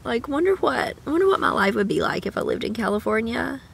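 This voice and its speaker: A woman's voice: a little high, sing-song, brisk, gravelly, husky. A young woman with a cough, chatting casually to the camera from a parked car, rambling with sing-song asides. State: sick with a cough. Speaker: vlogger. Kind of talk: casual chat. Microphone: camera at arm's length.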